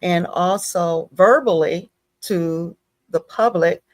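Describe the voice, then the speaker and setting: A woman's voice: on the low side, slow, no room sound. A woman hosting a casual faith-based talk, emphatic and conversational, often checking in with her listener. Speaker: talk show host.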